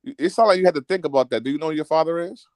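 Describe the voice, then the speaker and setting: A man hosts a casual group chat and talks fast, teasing and needling the people around him with profane banter. His voice sits fairly high, and the sound is dry and close, with no room echo.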